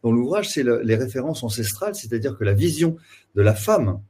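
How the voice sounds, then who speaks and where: A man hosts a webinar and asks long questions, stammering and repeating words as he goes. His voice has a husky, slightly raspy edge. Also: mid-range voice, sing-song, a little fast, warm tone. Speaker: webinar host. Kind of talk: webinar interview.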